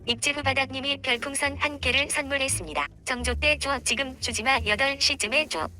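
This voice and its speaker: High synthetic woman's voice reading messages. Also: fairly quick. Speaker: text-to-speech reader of viewer donations and chat. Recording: loud music over the voice.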